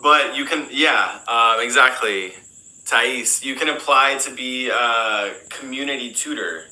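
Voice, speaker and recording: An American man, an English teacher, answering interview questions in a relaxed, rambling way, full of fillers and restarts. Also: mid-range voice, unhurried, echoey room, poor audio. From United States.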